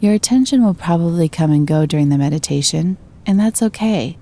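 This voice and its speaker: A woman narrating a scripted guided meditation in a gentle, calm and soothing manner. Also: fairly low pitch, a little fast.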